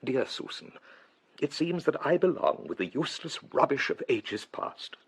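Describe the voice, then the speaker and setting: An actor playing a gentle, self-doubting elderly English clergyman in a period radio drama, husky and hesitant, musing courteously. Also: mid-range voice, very animated, moderate pace. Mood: troubled, confiding. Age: older.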